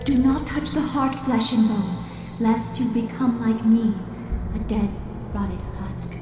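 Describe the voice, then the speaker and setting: A woman's voice: on the high side, unhurried, lightly acted. A woman voicing a centuries-old undead being in a video game, solemn and grave. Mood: solemn warning. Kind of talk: video game dramatic acting. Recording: cavernous echoing reverb under clear music.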